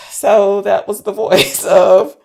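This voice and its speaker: A woman's voice: mid-range voice, very animated, very slow, gravelly, mellow. A woman co-hosting a casual film discussion in an informal, hesitant, conversational way. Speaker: podcast co-host reviewing films.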